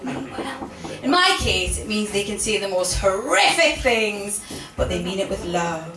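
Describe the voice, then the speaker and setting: A woman's voice: mid-range voice, very animated, a little slow. Cheeky Geordie comic in her thirties, self-mocking crowd work, captured from a distance in a roomy, noisy venue. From Newcastle, England. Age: 30s. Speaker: stand-up comedian. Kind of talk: stand-up comedy with crowd work. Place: live venue with audience. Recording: echoey room, from afar, noisy.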